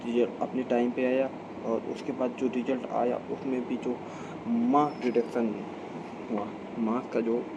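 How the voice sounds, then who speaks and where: An Indian man giving an informal explainer as a video host, halting and repeating himself as he corrects his phrasing. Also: mid-range voice, highs cut off. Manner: halting, repetitive phrasing with frequent self-corrections. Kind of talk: informal explainer talk to camera. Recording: clear steady background hum and ambient noise.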